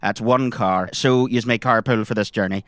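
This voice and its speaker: A man with a Northern Irish accent playing a chatty, eager character in improvised comedy, colloquial and animated. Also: on the low side, moderate pace, no echo, close to an everyday voice. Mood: matter-of-fact. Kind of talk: improvised comedy role-play.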